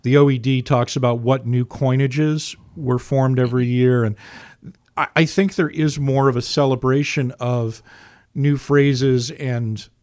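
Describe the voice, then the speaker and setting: A man's voice: medium pitch, fairly even intonation, a little slow, darkish tone. A man from Scotland, talking in a digressive, explanatory way in a casual discussion. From Dundee, Scotland. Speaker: discussion participant.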